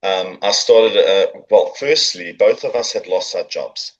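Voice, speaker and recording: A middle-aged man with a South African accent talks in a relaxed, storytelling way, slipping in jokes and ums. His voice sits fairly low. The recording has a slightly dull, muffled sound. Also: a little slow. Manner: chatty, joking, warm storytelling with fillers. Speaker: podcast guest, business owner. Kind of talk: casual interview chat. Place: coworking space.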